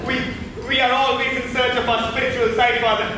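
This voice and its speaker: A man's voice: very high voice, flat delivery, brisk, noisy background. A man playing an earnest, pious, troubled young crown prince in a stage play, speaking in a pleading, theatrical way. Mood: anguished, impassioned. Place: indoor theatre stage, distant mic. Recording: echoey theatre stage from far off.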